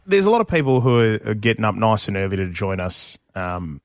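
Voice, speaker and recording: A man's voice: lowish voice, very animated, a little fast, no echo. A man with an Australian accent hosting a breakfast radio show in a matey, informal chat style.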